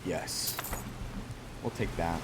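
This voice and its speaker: A man giving casual let's play commentary as a gaming host, thinking aloud in an unhurried way. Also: sits low, heavy background noise. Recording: loud rain and thunder game audio behind. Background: game rain and thunderstorm ambience.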